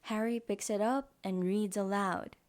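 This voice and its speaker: A woman's voice: medium pitch, fairly even intonation, unhurried, a little mellow. A woman narrating a scripted audio drama as its storyteller.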